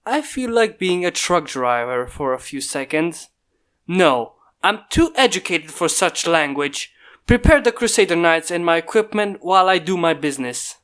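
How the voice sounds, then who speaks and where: A terse inventor barks dry orders at his mechanical guards and mutters wry little asides under his breath, as a reader puts him on. He sounds brooding and self-possessed, quick to command and slow to warm. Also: mid-range voice, animated, roomy sound, natural voice. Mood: tense.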